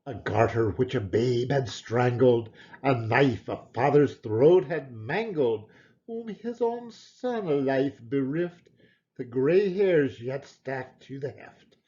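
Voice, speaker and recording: A man with a fairly high voice reads verse aloud in a theatrical, emphatic manner. His pitch swings widely from line to line, and he leaves deliberate pauses. The recording is a little dull and lo-fi. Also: warm tone. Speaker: poetry reader. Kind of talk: theatrical poetry recitation.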